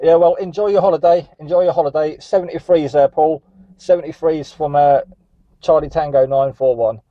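A man's voice: fairly high pitch, steady tone, brisk, dry recording. Man, CB operator, radio contact chat, close dry mic, dull recording. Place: static vehicle on a seafront headland.

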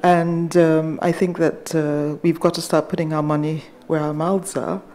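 A soft-voiced middle-aged woman from Ghana speaking at a conference panel in a measured, hesitant way, with many fillers, into a table microphone in a large hall. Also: low-pitched, flat delivery. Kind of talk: panel discussion remarks.

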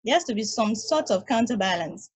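A woman voicing a cynical, grumpy space probe who answers bluntly and dismissively. Her voice is mid-pitched and husky. It is read into a home video-call mic. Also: animated, brisk.

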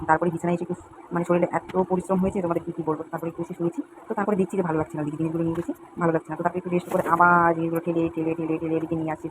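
A young woman chatting to viewers in a run-on, repetitive way as she narrates a casual home vlog. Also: fairly low pitch. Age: young adult. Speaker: home vlogger. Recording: clearly noisy home recording, uneven volume.

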